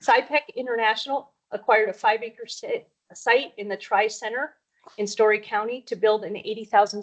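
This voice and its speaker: A woman reading a prepared introduction at a public board meeting. Her delivery is steady and formal, with an average pitch. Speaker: economic development representative.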